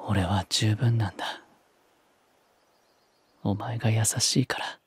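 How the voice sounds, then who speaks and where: An actor playing a cool, blunt but secretly tender young warrior priest in a Japanese drama CD. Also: male voice, on the low side, fairly even intonation, takes it slowly, heavily acted. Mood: tender, reflective. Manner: gruff wording, understated, soft-spoken tenderness.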